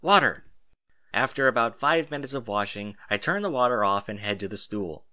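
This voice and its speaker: A man narrating a first-person story aloud in an expressive, animated way. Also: lowish voice, brisk, clear, resonant tone, dry recording, clean studio sound. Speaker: audiobook narrator.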